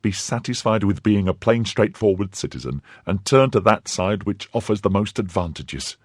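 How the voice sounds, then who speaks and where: A man voicing an indignant young wife who scolds her husband, pushing his husky voice higher. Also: expressive, normal speed, dry recording, clean studio sound. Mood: scornful. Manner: scornful, pleading and scolding by turns. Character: young woman, indignant, fiercely loyal wife.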